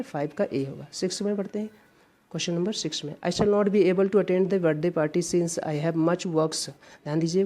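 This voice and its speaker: A woman from India teaching English grammar for exams, going through questions one by one and reading each example sentence slowly and deliberately. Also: sits low, warm tone.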